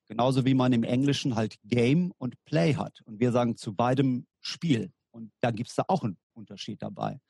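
Interview guest: German man, gravelly and a bit husky, explains in a hesitant way with fillers. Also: medium pitch, moderate pace, hardly any pauses, mellow, no echo, studio quality. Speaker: guest, war gaming lecturer. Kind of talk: podcast interview.

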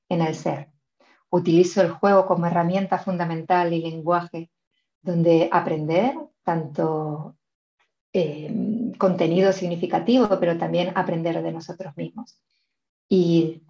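A woman's voice: fairly low pitch, mellow, lo-fi sound. Earnest middle-aged woman from Argentina. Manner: earnest, repeats and restarts words. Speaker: guest. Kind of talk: reflective storytelling.

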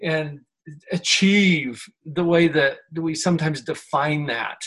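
A male interview host with a fairly high voice. He affirms his guest warmly and restates the guest's points in measured, encouraging phrases. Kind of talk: conversational interview.

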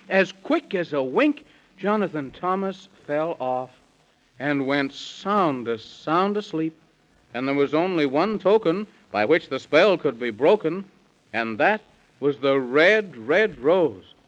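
A man with a fairly high, very lively voice tells a children's story in rhyme. His delivery is theatrical and singsong, like 1930s radio, and the recording is dull and vintage. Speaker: narrator.

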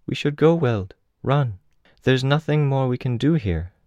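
Man as young woman, Russian accent, soft pleading voice, pitched well up, audiobook character. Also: lively intonation, a little slow, no room sound, highs cut off, close to an everyday voice. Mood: urgent pleading.

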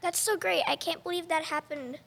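A very high young female voice acting plays a bubbly best friend, slangy and eager, in an amateur radio play. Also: a little fast, vocal fry, fairly bright tone, full character voice. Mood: excited. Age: child or teen.

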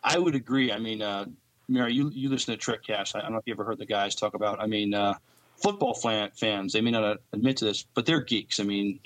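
A man's voice: fairly low pitch, steady tone, fast, fairly bright tone, rough recording. A man chatting casually as a co-host on a podcast. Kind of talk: casual chat.

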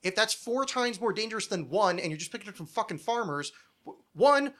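A man's voice: high voice, lively intonation, brisk, a bit bright. A man hosting a podcast, chatting casually in an opinionated, nerdy, swearing rant. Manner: ranting, profane, nerdy. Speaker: podcast host.

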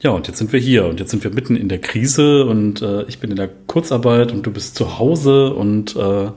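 Husky low-voiced man hosting. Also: normal speed, mellow. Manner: loose, filler-heavy, rambling questions. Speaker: podcast host. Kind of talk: casual interview chat.

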